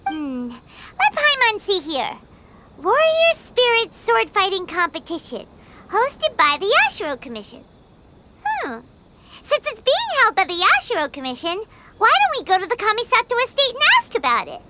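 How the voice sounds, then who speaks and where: A woman voicing a tiny, excitable childlike fairy companion in a video game, squeaky and given to indignant exclamations. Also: normal speed, very noisy, exaggerated voice. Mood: curious chatter. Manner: exclamatory, speaks of self in third person. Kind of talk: animated video game voice acting. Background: loud music.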